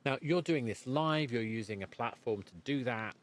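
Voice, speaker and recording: A man's voice: mid-range voice, moderate pace. A man hosting a podcast interview, feeling his way through sentences and rephrasing as he thinks aloud. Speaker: podcast host. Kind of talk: interview conversation.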